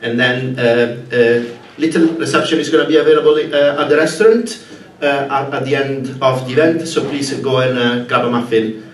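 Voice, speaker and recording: A man's voice: mid-range voice, normal speed. A man hosting a conference, making announcements and introducing a keynote with many hesitant fillers. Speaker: conference host. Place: conference room. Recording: echoey room, distant mic, faint noise.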